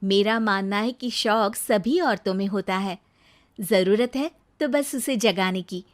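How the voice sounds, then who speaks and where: A woman voicing an eager, determined young city-bred wife in a cartoon, chatty and expressive. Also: medium pitch, unhurried, natural voice.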